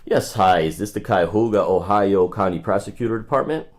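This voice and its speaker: A man's voice: on the low side, brisk. A man hosting a live call audit, speaking in an insistent, straight-to-the-point way as he makes phone requests and chats to his viewers. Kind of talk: phone requests and casual livestream commentary.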